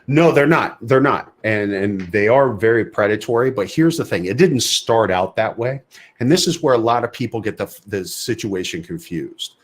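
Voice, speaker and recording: A man's voice: on the low side, lively intonation, moderate pace. Emphatic man debating on a podcast. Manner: emphatic, asks and answers own questions. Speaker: podcast co-host. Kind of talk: opinionated casual podcast discussion.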